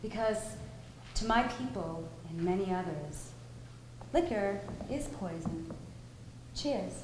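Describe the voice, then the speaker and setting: A woman's voice: mid-range voice, lively intonation, slow. An actress playing a self-assured, philosophizing woman in a live stage drama, speaking in a wry, declarative way. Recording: very echoey, noisy live theater recording.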